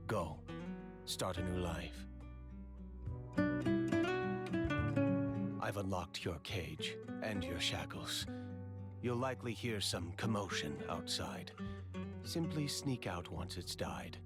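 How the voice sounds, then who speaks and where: An actor playing a grave, courteous commander of royal knights in a video game, with measured, formal speech. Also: male voice, low-pitched, lively intonation, over loud music. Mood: calm, lenient. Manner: measured, formal, archaic diction. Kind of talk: dramatic video game voice acting.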